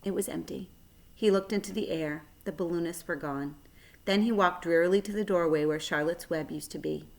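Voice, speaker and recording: A woman narrating a children's story aloud in a measured, expressive way. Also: fairly low pitch, gravelly.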